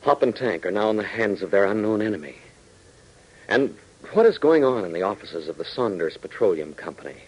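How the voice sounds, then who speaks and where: A man's voice: fairly low pitch, expressive, a little fast, breathy edge, low-quality recording. An American man narrating emphatically and dramatically as an announcer in 1940s radio style. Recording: old 1940s radio broadcast recording.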